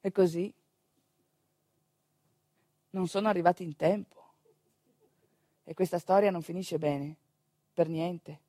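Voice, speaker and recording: A woman with a northeastern Italian accent performing a theatrical storytelling monologue in a conversational, wry, expressive manner. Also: on the low side, slow pace, long pauses, dry recording. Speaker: stage actress, storyteller.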